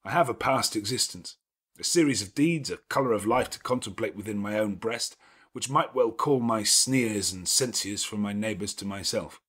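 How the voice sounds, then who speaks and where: A man voicing a brusque, imperious, self-reproaching gentleman in an audiobook of a classic novel, with emphatic, commanding delivery. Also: lowish voice, normal speed, breathy edge. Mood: brooding, confessional.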